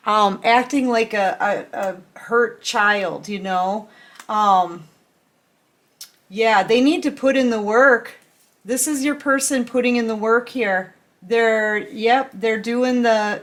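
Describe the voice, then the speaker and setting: A woman doing a casual tarot reading, chatty and affectionate, full of fillers and restarts, a little sick and clearing her throat. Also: on the high side. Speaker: tarot reader.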